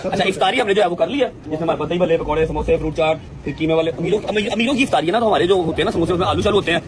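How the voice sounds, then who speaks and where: A man with a Pakistani Punjabi accent chatting casually as a vlog host, repeating himself for emphasis. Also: sits high. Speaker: vlogger and host. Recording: lively crowd chatter behind. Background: people chattering at a meal gathering.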